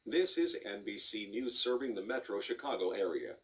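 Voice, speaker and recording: A man with a fairly low voice reads a scripted news bulletin. The recording is dull and lo-fi, and he sounds set back from the microphone. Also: animated, normal speed, distant mic. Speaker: news announcer.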